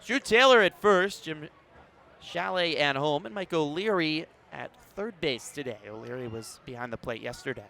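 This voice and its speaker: A man doing live baseball play-by-play as a radio announcer, narrating in a measured way with punchy calls. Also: on the high side, expressive, gravelly, sharp tone. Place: outdoors at a ballpark.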